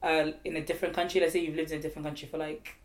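A woman's voice: low voice, level tone, very fast. A woman from Zimbabwe musing on a podcast, thoughtful and hesitant, with many fillers and restarts. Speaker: podcast co-host. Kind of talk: casual group chat.

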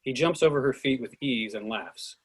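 A man's voice: mid-range voice, normal speed, slightly rough. A man reading stage directions aloud as scripted narration for a screenplay reading.

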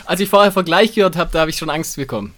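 A man's voice: fairly high pitch, lively intonation, rapid-fire, fairly bright tone. A man co-hosting a casual podcast, chipping in with jokey interjections. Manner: joking, interjecting. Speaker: podcast co-host. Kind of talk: casual banter.

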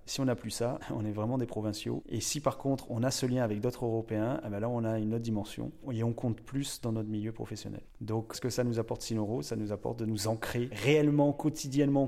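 A man's voice: medium pitch, creaky, mellow. A man, a screenwriter and director, speaking in an interview, thoughtful and hesitant with frequent fillers. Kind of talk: interview testimony.

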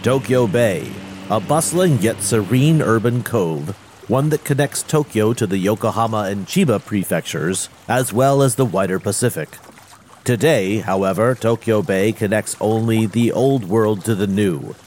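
A man playing the solemn narrator of a monster audio drama, speaking in a measured, portentous way. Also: lowish voice, lively intonation, darkish tone, a little hiss or hum. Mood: ominous, solemn.

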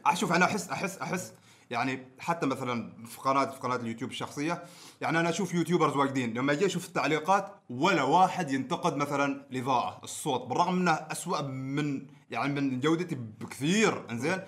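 Omani guy chatting on a podcast, talks super fast, repeats words to push his point. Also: medium pitch, a bit bright. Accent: Omani Arabic. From Oman. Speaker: podcast co-host. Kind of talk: casual chat and debate.

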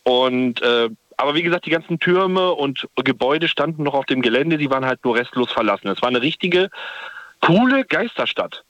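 A man's voice: medium pitch, animated, normal speed, fairly bright tone, dry recording, low-quality recording. A man from the Ruhr area telling a long rambling story as a caller to a radio show, phoning from his parked car. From Ruhr area, Germany. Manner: chatty, rambling, self-correcting. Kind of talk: phone-in storytelling. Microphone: phone.

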